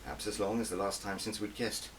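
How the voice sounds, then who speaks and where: A man narrates a first-person novel in a deep register. His delivery is scripted, dramatic and emphatic. The recording is slightly distant, with a light hiss underneath. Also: steady tone, quick pace, a bit bright. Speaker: author reading own audiobook.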